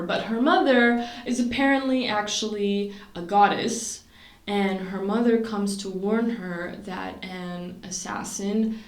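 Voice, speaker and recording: Chatty Canadian-raised young woman, roomy sound. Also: medium pitch. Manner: chatty, rambling with fillers and restarts. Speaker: vlogger. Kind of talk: casual talk to camera. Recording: roomy sound, mic at a distance.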